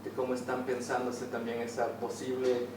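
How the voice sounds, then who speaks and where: A man from the audience speaks off the cuff, feeling his way through a long question. His voice is distant from the mic, swimming in heavy echo, with clear noise behind it. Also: medium pitch, completely flat delivery, moderate pace. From Ecuador. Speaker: audience member asking a question. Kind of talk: spontaneous question at a public talk. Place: indoor theater venue during a public discussion.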